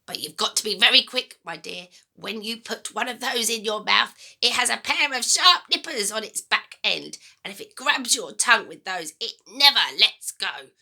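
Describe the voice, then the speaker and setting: A woman voicing a sinister, gleeful old grandmother from a children's storybook, throaty and whispery, with a drawn-out, menacing delivery. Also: on the high side, animated, creaky, bright, forceful tone, pitched above the performer's own voice, full character voice. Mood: gleeful relish. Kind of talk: dramatic children's storybook reading.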